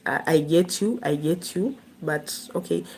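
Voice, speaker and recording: A woman's voice: low-pitched, measured pace, gravelly, darkish tone, slightly rough. A woman from Kenya, hosting a casual live stream, chatting and laughing as she answers viewers' comments.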